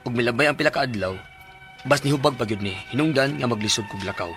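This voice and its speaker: A man's voice: mid-range voice, fast, music in the background, close to an everyday voice. A man with a Cebuano Filipino accent acting a worried, stubborn working husband in a radio drama, speaking colloquially and expressively. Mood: worried, weary.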